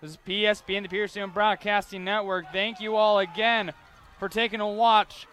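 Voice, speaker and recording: A young man with a high-pitched voice calls a game live as the play-by-play announcer. He follows each play as it unfolds, in the manner of an amateur sports broadcast. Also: steady tone, moderate pace, a bit bright.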